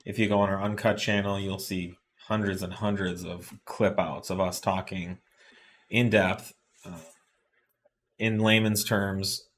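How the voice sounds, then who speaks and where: A man's voice: sits low, level tone, measured pace. A middle-aged man hosting a live chat, explaining engine tuning in plain terms with many fillers and restarts, recorded in an indoor studio. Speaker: host. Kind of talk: casual technical explanation. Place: indoor studio with shop products on desk.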